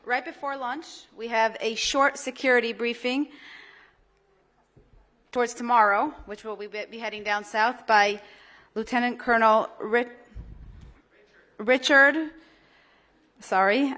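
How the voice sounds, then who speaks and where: Woman moderator, slightly high voice, halting introduction of a speaker. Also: a bit bright. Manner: hesitant, stumbles over a name. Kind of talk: brief event introduction.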